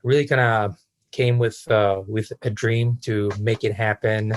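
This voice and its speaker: Low, even-voiced Israeli man in his thirties. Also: a little slow, dry recording. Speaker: podcast guest, real estate entrepreneur. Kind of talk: casual interview answers.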